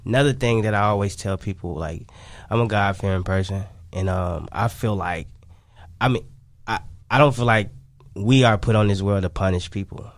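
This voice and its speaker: A man's voice: lowish voice, normal speed, no room sound. A man talking casually as an interview guest, repeating himself and often saying "you know what I'm saying.". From Chicago, United States. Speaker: interview guest, music artist.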